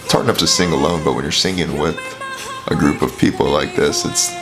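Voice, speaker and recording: A man from California hosting a music reaction, talking casually and rambling with lots of fillers, his voice raspy and hoarse from allergies. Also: extremely low pitch, a little fast. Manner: rambling, filler-heavy explaining. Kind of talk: casual vocal analysis commentary. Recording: music playing behind voice.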